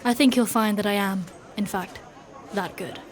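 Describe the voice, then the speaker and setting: A woman's voice: mid-range voice, fairly even intonation, a faint crowd, natural voice. Proud, stern woman knight played by an actress. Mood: stern, dry. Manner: formal, clipped, commanding, measured diction. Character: proud, stern female knight in hiding, soldierly and guarded. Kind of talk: dark fantasy audio drama acting and narration.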